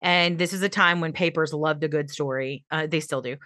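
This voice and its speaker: A woman co-hosting a podcast, telling a true-crime story in relaxed casual chat and laughing at herself. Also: sits low, a little fast, dry recording, clean studio sound. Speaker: podcast co-host.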